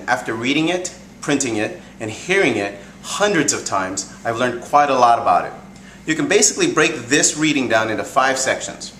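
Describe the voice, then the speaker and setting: A man giving an earnest, measured, prepared introduction as a presenter. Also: mid-range voice, a bit bright. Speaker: presenter introducing a group reading. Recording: echoey room, faint background noise.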